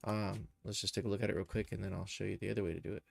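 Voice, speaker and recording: A man hosting a casual, unscripted software tutorial, thinking aloud as he explains each step. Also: on the low side, little pitch movement, very fast, gravelly, no echo. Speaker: live stream tutorial host, game and cartoon developer.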